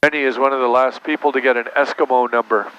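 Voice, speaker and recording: Male captain, mid-pitched, plain-spoken casual explainer, muffled audio with light engine noise. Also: steady tone, fast, fairly bright tone. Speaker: airline captain. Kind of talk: casual cockpit chat. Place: small turboprop aircraft cockpit in flight. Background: aircraft engine drone.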